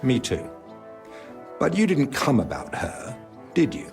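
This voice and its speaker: An actor playing a learned, stern but caring medieval physician in a video game, clipped and authoritative. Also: male voice, mid-range voice, big pitch swings, takes it slowly, gravelly, soft background music, full character voice. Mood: civil. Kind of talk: video game cutscene acting.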